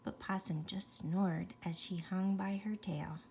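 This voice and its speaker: A woman's voice: fairly low pitch, fairly even intonation, unhurried, vocal fry, darkish tone, a little hiss or hum. A woman reading a rhyming children's bedtime story expressively, with chatty asides to young listeners.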